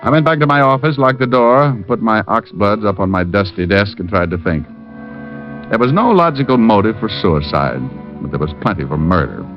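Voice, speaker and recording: An actor playing a shrewd, sardonic private detective with an American accent in a hard-boiled old radio drama. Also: male voice, on the low side, expressive, moderate pace, close to an everyday voice. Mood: brooding, intent. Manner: sardonic, teasing. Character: wry, shrewd, needling male private detective. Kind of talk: hard-boiled first-person narration, 1940s-50s radio acting. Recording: muffled old radio recording with music.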